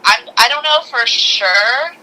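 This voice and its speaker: A woman co-hosting a casual chat, talking like an excitable, informal fan. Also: fairly high pitch, slow pace, low-quality recording. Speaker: podcast co-host.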